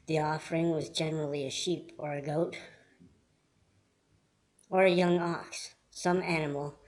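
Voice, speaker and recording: A man reading scripted Bible storybook narration in a measured, earnest manner. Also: a little high, flat delivery.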